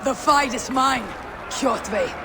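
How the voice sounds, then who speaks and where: An actor playing a proud young Viking warrior in a video game, solemn and defiant, set on avenging family honor. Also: male voice, very high-pitched, slow pace, a loud crowd, heavy background noise. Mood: defiant fury. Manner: solemn, defiant, formal phrasing. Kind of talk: video game cutscene acting.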